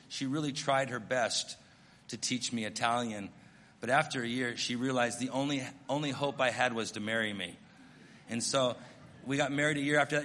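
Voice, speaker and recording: A middle-aged American missionary pastor preaching a sermon, telling stories in an earnest, self-deprecating way. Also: male voice, medium pitch, bright, forceful tone, big-room sound, slight background noise. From United States.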